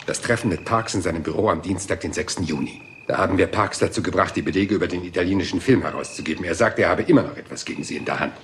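An actor playing a dogged middle-aged police inspector in a radio crime drama, patient and musing, circling back to small details. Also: male voice, low voice, quick pace, few pauses, fairly bright tone, a little hiss or hum. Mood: calm.

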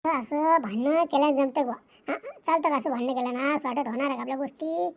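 Cartoon husband, played by a man: very high, fast, flustered, pleading, rural Maharashtrian Marathi accent. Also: a bit bright. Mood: coaxing. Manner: repetitive, insistent. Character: pleading, flustered cartoon husband caught between two wives. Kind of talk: cartoon comedy dialogue.

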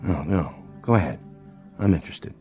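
Deep-voiced, cool gambler. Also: male voice, expressive, normal speed, darkish tone. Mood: probing. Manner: terse, unruffled. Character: cool, hard-nosed gambler with a soft spot. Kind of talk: radio drama acting. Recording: radio drama with music underneath.

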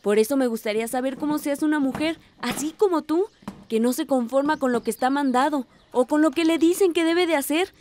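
A woman's voice: high-pitched, brisk, darkish tone, slight background noise, lightly acted. A woman playing a curious, admiring, outspoken young village niece in a radio drama, eager and questioning.

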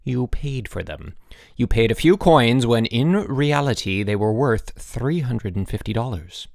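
A man's voice: mid-range voice, expressive, gravelly, a little mellow, dry recording, studio quality. A man voicing a stern, sarcastic headmaster who scolds in snapping, emphatic phrases for an audiobook. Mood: cold accusation. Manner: snapping, clipped commands, drawn-out emphasis.

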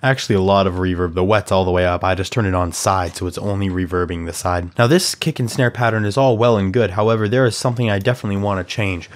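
Young man with a slightly raspy voice. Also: low-pitched, fast, few pauses, soft background music. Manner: relaxed, chatty, filler-heavy explaining. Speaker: music production tutor. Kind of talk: casual tutorial walkthrough. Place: small room at home.

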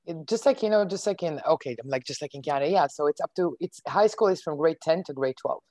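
A woman whose first language is not English, recalling things casually and haltingly as a coaching client. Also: sits low, warm tone. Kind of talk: casual personal recollection. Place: indoors on a video call.

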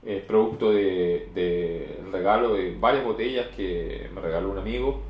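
A man's voice: low voice, normal speed, muffled recording. A man in his forties reviewing beer in casual chat, explaining at length with many fillers, recorded from a camera across a home room. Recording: echoey room with faint noise.

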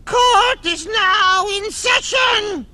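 Court herald rabbit for a game: officious, formal, high, drawn-out calls. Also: male voice, music in the background, heavily acted. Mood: pompous announcing. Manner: formal, proclaiming. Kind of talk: animated video game acting.

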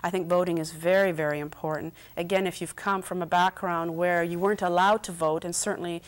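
A woman's voice: fairly low pitch, fairly even intonation, a little fast. A middle-aged woman from Canada, a politician guest on a TV studio panel, speaking earnestly and often repeating words as she thinks. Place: TV studio set, indoors.

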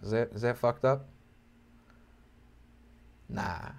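A man's voice: medium pitch, slow pace. A young man giving informal, joking, educational commentary over wildlife footage, with an enthusiastic manner. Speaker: amateur birder narrating wildlife footage.

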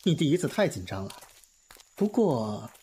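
Man acting a teasing, animated young film star. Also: mid-range voice, normal speed, a little hiss or hum, close to an everyday voice. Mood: playful.

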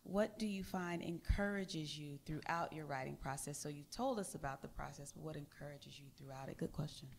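A woman's voice: deep voice, fairly quick, creaky, hardly any pauses. A woman hosting an author interview on a library stage, asking questions in an upbeat, encouraging way into a handheld mic. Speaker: event host and interviewer.

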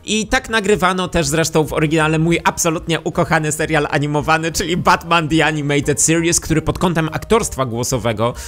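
A man's voice: fairly high pitch, fairly quick, hardly any pauses, fairly bright tone. A man in his thirties presenting to camera as a YouTube host, speaking close into a studio microphone. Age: 30s. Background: music.

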